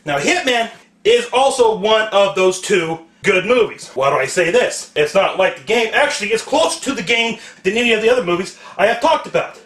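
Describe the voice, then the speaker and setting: Exasperated ranting man, high and loud, echoey. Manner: exasperated, emphatic, shouty. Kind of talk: profane comedic rant, monologue. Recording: echoey room, distant microphone.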